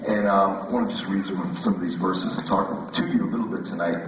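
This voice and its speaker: A man preaching a relaxed, conversational sermon, his voice scratchy. He jokes and often repeats words as he restarts. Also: low-pitched, a little fast. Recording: echoey hall, voice from far off.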